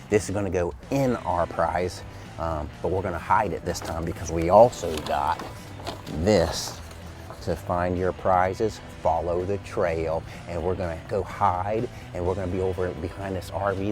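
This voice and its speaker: A man's voice: sits low, fairly even intonation, measured pace, over music. A man hosting a kids' gym video, chatting casually and playfully as he gives a helper instructions. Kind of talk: casual chat while setting up a prank.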